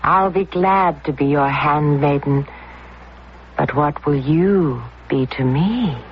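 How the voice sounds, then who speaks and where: An actor playing a cool, commanding schemer in an old-time radio drama, speaking in a deliberate, teasing way. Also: male voice, a little high. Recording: dull, noisy old radio broadcast.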